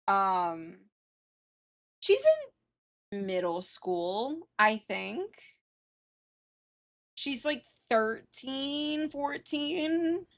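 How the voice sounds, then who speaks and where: A woman livestreamer chatting casually while gaming, informal and sweary. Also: mid-range voice.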